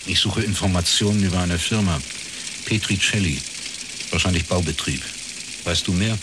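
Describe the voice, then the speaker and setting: An actor playing a wry, laconic private detective with a husky voice, in radio crime drama narration and dialogue. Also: male voice, low voice, moderate pace, background noise, lightly acted. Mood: dry, matter-of-fact. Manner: dry, deadpan, understated. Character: wry, laconic male East Berlin private detective, ex-academic.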